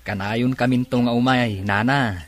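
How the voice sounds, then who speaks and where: A man's voice: mid-range voice, fairly even intonation, normal speed, natural voice. A young man acting a chummy workmate in an Ilocano radio drama. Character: chummy young workmate, keen on food and girls.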